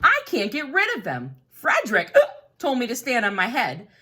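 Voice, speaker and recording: A woman's voice: a little high, wildly swooping pitch, moderate pace, bright, forceful tone, husky, voice pushed up, heavily acted. A woman voicing a polite, fussy male penguin who talks chattily with lots of hesitant fillers. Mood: flustered.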